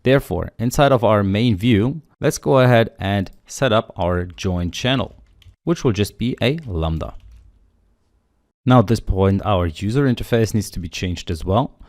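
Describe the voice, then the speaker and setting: A man's voice: lowish voice, expressive, measured pace, a little mellow, no echo, clean studio sound. A man teaching a coding tutorial, steadily explaining each step aloud while working through the code. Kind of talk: screen-recorded coding walkthrough.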